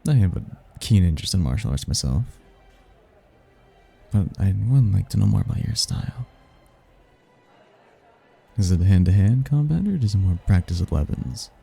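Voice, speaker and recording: A man playing a suave, prideful demon in a romantic ASMR roleplay, smooth-talking, courtly and flirtatious, with a husky, gravelly voice. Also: deep voice, very animated, normal speed, pauses often, warm tone, heavily acted. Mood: intrigued, flirtatious. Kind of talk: ASMR roleplay, one-sided romantic conversation. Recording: music behind the voice.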